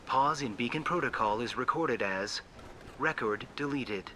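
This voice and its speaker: A starship beacon computer in a sci-fi game, with a very bright, sharp voice of medium pitch. It recites logs formally and without emotion, over faint noise. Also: male voice, expressive, unhurried. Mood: impassive, clinical. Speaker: automated emergency beacon. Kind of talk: automated log readout.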